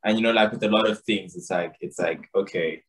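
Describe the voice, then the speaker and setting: A man's voice: sits low, fairly quick, rough recording. A man from Zimbabwe with a Zimbabwean accent, hosting a podcast in a casual conversational way. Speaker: podcast host. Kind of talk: casual chat.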